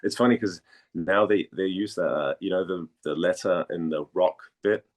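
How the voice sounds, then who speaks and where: A man talks casually as an interview guest. He speaks in a halting way, circling back over phrases as he searches for words. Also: low-pitched. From Denmark. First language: Danish.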